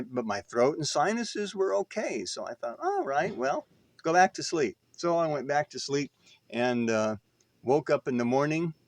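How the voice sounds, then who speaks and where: A man's voice: on the high side, no echo. Folksy older man, rambling storyteller, dry sound. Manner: folksy, self-deprecating. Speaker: vlogger. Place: inside an RV.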